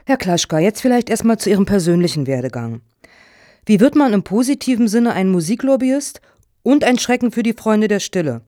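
A woman's voice: mid-range voice, animated, brisk, mellow, dry recording, natural voice. Actress as dry, polite female moderator. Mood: businesslike. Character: polite, dry, controlled moderator of a funding jury. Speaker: actor in satirical radio play. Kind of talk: radio satire dialogue.

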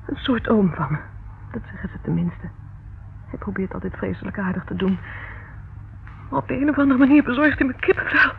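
An actress playing a frightened, secretive young woman in a radio play, emotional, shifting between hushed and urgent speech. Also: mid-range voice, fairly quick, gravelly, long pauses, natural voice. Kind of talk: radio play acting in Dutch. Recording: muffled, narrow-band, noisy old radio recording.

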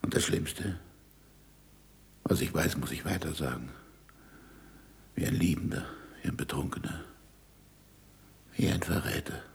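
A man's voice: extremely low pitch, a little slow, vocal fry, pauses often, full character voice. A man acting an impassioned, sarcastic middle-aged scientist in a German radio play, swinging between declamation and muttering. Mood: brooding, confessional.

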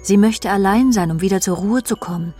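A woman's voice: mid-range voice, fairly quick, dark tone, slightly rough, faint music behind, natural voice. An actress playing a cold, scheming friend in a radio crime drama, sardonic and matter-of-fact as she speaks and narrates. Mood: dismissive. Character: cold, scheming woman, spiteful friend, narrating her own deeds.

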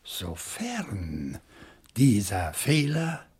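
An actor playing a calm, probing questioner in a German radio crime drama, speaking deliberately and insistently. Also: male voice, on the low side, breathy edge, exaggerated voice. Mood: grave, deliberate. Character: calm, probing man questioning a suspect, Monsieur Fougère.

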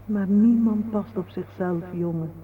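A woman acting a gentle, serene older mother who grieves her fallen son, speaking patiently and solemnly in a radio drama. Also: medium pitch, lightly acted. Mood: calm, consoling. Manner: slow, patient, consoling. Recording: muffled, noisy old radio play recording.

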